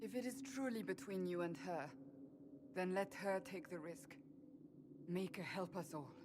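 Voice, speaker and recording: Woman as a blunt, low-pitched companion. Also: unhurried, noisy background, close to an everyday voice. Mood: firm. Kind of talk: video game voice acting.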